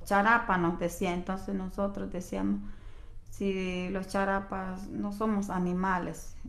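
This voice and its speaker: A woman's voice: mid-range voice, level tone, a little slow. Earnest woman from Pucallpa, roomy sound. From Pucallpa, Peru. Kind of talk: documentary testimony.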